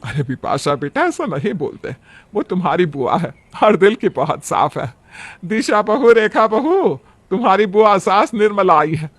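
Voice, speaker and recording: A man voicing a gentle, conciliatory middle-aged mother-in-law in a cartoon family story, soothing and instructive. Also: sits high, very animated, normal speed, no echo, pitched above the performer's own voice, exaggerated voice. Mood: gently instructing.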